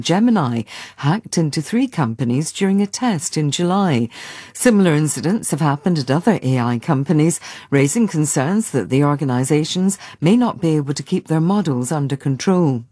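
A woman reading a scripted radio news bulletin in a measured, formal way. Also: low voice, mellow, breathy edge, no room sound, studio quality. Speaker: newsreader. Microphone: studio mic.

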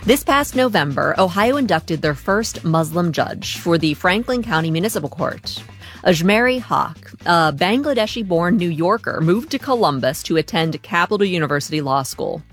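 A woman's voice: lowish voice, expressive, normal speed, faint music behind. A woman hosting a radio interview show. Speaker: talk show host.

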